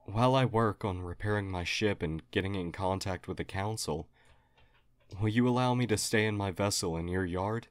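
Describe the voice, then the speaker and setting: A man's voice: on the low side, moderate pace, dry recording, close to an everyday voice. A man playing a friendly alien historian, formal, earnest and hesitantly apologetic, in an audio roleplay. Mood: earnest, polite. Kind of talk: audio roleplay acting, addressed to listener.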